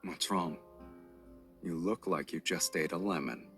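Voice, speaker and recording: An actor playing a smug, protective game love interest who teases slowly and calmly. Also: male voice, low-pitched, faint music behind. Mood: teasing. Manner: teasing and unhurried.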